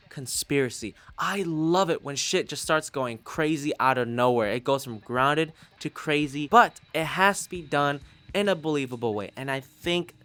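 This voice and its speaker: A man giving a casual, sweary video review of audio drama podcasts in an expressive, informal way. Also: mid-range voice. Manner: expressive, foul-mouthed, informal. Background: background music.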